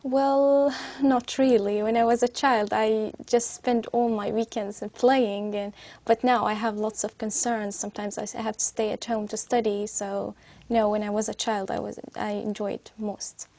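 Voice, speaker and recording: Young Syrian woman, gravelly creak, flat pitch. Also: moderate pace. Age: young adult. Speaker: student being interviewed as a speaking test candidate. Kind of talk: spoken exam answers.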